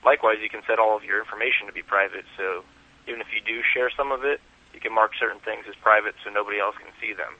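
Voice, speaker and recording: A man's voice: on the low side, fairly even intonation, quick pace. A man giving conversational interview answers as a guest, heard over a lo-fi telephone call. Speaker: interview guest, website founder.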